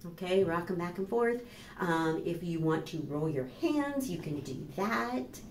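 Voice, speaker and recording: Animated American woman leading children's storytime. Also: on the low side, slight background noise. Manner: animated, slow and deliberate for young children. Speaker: children's librarian hosting storytime. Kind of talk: children's storytime narration and instruction. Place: small plain indoor room. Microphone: camera microphone a few steps away. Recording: roomy echo, voice far from mic.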